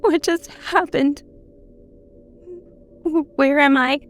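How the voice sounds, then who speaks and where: A woman's voice: high-pitched, fairly even intonation, very slow. An actress playing a frightened, desperate mother searching for her child in a horror audio drama, with a trembling delivery. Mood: fearful disbelief.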